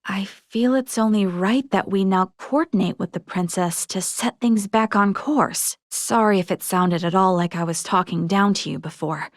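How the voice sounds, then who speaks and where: A woman's voice: mid-range voice, normal speed, hardly any pauses, no echo, clean studio sound, lightly acted. A woman playing an earnest young female military officer in a space video game, speaking formally and articulately with resolve. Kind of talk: scripted video game character dialogue.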